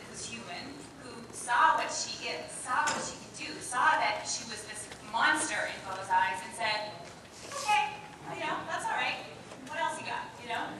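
A woman actor chatting casually on a convention panel, laughing often and using many fillers. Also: on the high side. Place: large convention hall, audience. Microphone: table mic, filmed from far in crowd. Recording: echoey hall from far off, noisy.

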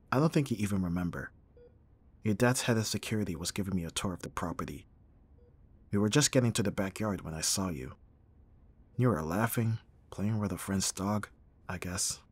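A man playing a gruff but tender young bodyguard in an intimate ASMR roleplay, speaking softly with sighs and a husky voice. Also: lowish voice, full character voice. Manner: soft, intimate, sighing, teasing.

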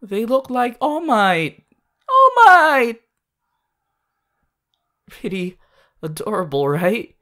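A woman voicing a sweet, bashful, excitable teenage anime boy in an affectionate, halting ASMR roleplay addressed to the listener. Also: a little high, heavily acted. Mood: excited, affectionate. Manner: halting, affectionate, with small hesitations.

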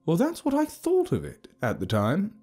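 Storybook elder, played by a male narrator: grand and cheerful, raised pitch, slow ceremonial oratory, music underneath. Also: wildly swooping pitch, warm tone, full character voice. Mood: reminiscing, grand. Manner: slow, oratorical, emphatic delivery. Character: grand, cheerful old leader addressing his gathered tribe.

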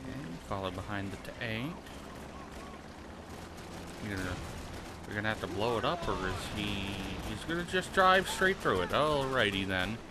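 A man's voice: on the low side, animated, normal speed, pauses often, very noisy. A man hosting a gaming channel, talking casually over gameplay with a stuffy nose, rambling and swearing at the game. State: stuffy nose from sinus trouble. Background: game gunfire and vehicles.

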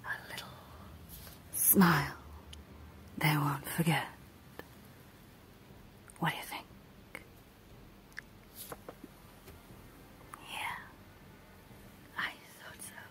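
A woman voicing a creepy evil clown dentist in a menacing, teasing, breathy whisper for an ASMR horror roleplay. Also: low voice, flat delivery. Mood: taunting. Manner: slow, teasing, menacing, drawn-out phrases. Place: small dark room indoors, close to the mic.

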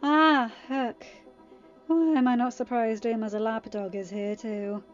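A woman voicing a smug, taunting young male villain in an audiobook-style story, with a sneering, deliberate manner. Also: on the high side, steady tone, highs cut off, faint music behind.

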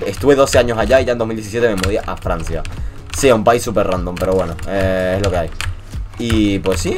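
A young man from Venezuela with a mixed Latin American and Spanish accent, commenting casually over his gameplay in an animated, swearing, joking rant. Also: on the low side. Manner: animated ranting, swears, jokey. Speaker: gaming YouTuber.

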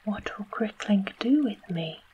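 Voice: sits high, very animated, measured pace, highs cut off, slight background noise, voice pushed up. A storyteller whispering the part of a frightened little girl in a storybook. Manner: whispered, pleading.

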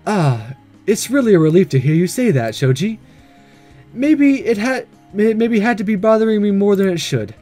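A coy, flirtatious woman principal from a romance game, read out by a man, a little wounded. The delivery is halting and lively in pitch, with music in the recording. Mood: relieved.

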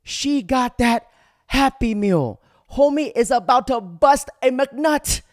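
A man's voice: extremely high pitch, expressive, slow, no echo. A man doing crude, sarcastic commentary over viral clips, delivering punchlines from a home studio on a headset mic. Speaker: reaction commentator.

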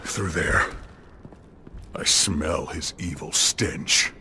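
Slow, solemn alien warrior. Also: male voice, very deep voice, pushed and bright, slight background noise, heavily acted. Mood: grave, ominous. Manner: formal, grave, declamatory. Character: big, solemn, literal-minded male alien warrior. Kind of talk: video game voice acting.

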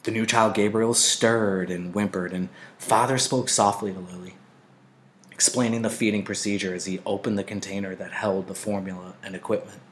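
Male narrator, lower register, expressive audiobook-style reading of fiction with deliberate phrasing. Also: a little hiss or hum. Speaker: teacher reading a novel aloud.

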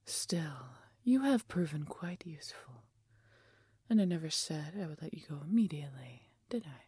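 A woman voicing a proud, haughty wounded female dragon in a fantasy audio roleplay, with breathy, husky, imperious delivery. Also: deep voice, sing-song, dark tone, no room sound, heavily acted. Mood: sly, possessive. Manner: imperious, theatrical, deliberate phrasing.

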